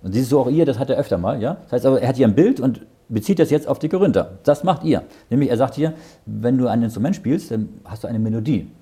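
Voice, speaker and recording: A man's voice: medium pitch, animated, quick pace, warm tone, husky. A man leading a Bible study. He reads verses aloud, explains them in plain words and often checks with "ja?". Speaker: Bible teacher.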